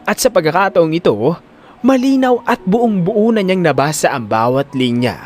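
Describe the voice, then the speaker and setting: A man's voice: sits high, animated, moderate pace. A man narrating a dramatic scripted story. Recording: music under the voice.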